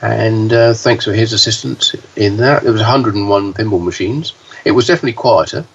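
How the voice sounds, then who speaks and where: A man co-hosting a podcast, talking with a hoarse voice and halting, with frequent fillers and restarts. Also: fairly low pitch. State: hoarse, deeper voice than usual. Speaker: podcast co-host, pinball news reporter. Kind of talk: casual podcast chat. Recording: dull, slightly distant, faint hiss.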